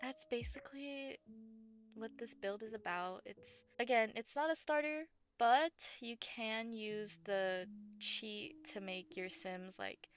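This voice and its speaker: A woman with a scratchy, gravelly voice, hoarse after bronchitis, giving casual voice-over commentary over gameplay. She rambles, packs her talk with fillers, and corrects herself. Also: on the high side, slow. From Southern United States. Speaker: game builder and channel host. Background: background music.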